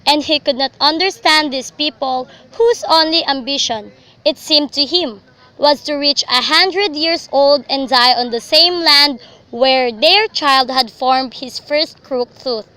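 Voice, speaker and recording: A young woman narrates a radio drama. Her voice sits high and has a bright, forward edge, and she delivers the scripted story as a storyteller. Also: a little slow. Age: young adult.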